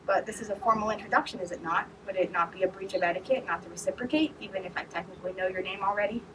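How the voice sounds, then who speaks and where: A woman reading aloud as a stiff, formal young male starship captain who speaks in an even, flat tone. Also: mid-range voice, rapid-fire, lightly acted. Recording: distant mic with noise behind.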